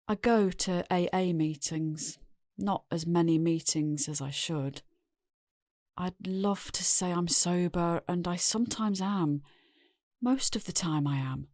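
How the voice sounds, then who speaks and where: A woman's voice: fairly low pitch, a little mellow, no echo, clean studio sound. An actress with a British accent playing a weary, resigned middle-aged wife and mother, confessing plainly in an audio drama. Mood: defensive, confessional. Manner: plain-spoken, world-weary.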